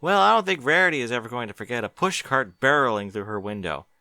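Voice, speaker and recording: A man's voice: mid-range voice, expressive, fast, bright, forceful tone, no room sound, pitched above the performer's own voice. A man voicing a sensible young boy dragon who works in a library, matter-of-fact.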